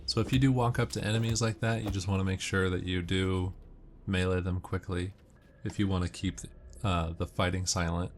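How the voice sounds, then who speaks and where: Low-voiced man giving game tips. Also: steady tone, moderate pace, hardly any pauses, a little hiss or hum. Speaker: gamer giving walkthrough commentary. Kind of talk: casual game commentary with tips.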